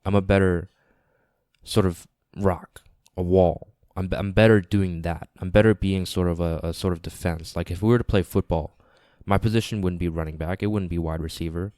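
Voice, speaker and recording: A man giving a casual, earnest podcast monologue, repeating phrases for emphasis, slightly raspy and nasal with congestion from a lingering cold. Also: low voice, fairly even intonation, normal speed, a little mellow, no echo, clean studio sound. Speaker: podcast host. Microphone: close podcast microphone.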